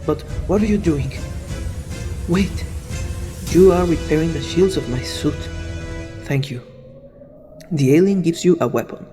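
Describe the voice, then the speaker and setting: A man's voice: on the high side, a little slow, pauses often, music in the background, natural voice. A man playing an anxious armored soldier in a scripted video game story, with stilted, dramatic delivery. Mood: narrating.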